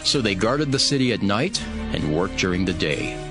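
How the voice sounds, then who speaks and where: A man's voice: on the low side, sing-song, normal speed, music in the background. A man playing a resolute, devout leader narrating dramatized scripture in an earnest, declamatory way. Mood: measured, resolute. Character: Nehemiah, resolute devout male leader rallying his people.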